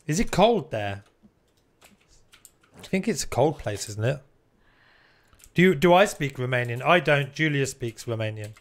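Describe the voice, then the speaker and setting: A man's voice: mid-range voice, animated, measured pace, long pauses. A man chatting casually while live-streaming a game, reading out viewer comments and swearing now and then. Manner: chatty, swears casually, reads chat aloud.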